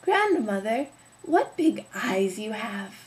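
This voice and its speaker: A woman voicing a trusting, innocent little girl with a high, slow, earnest voice in a told fairy tale. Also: very animated. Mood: wondering.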